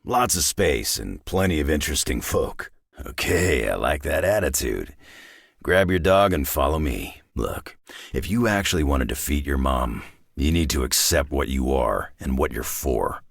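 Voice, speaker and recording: Game casting: gruff, sardonic tough guy, very low and gravelly, cocky and battle-worn. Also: lively intonation, moderate pace, dry recording, studio quality, exaggerated voice. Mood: gruff, matter-of-fact. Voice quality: gravelly, raspy growl. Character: gruff, cocky, battle-hardened mutant brawler, adult man. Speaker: video game character voice actor. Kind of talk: video game voice lines.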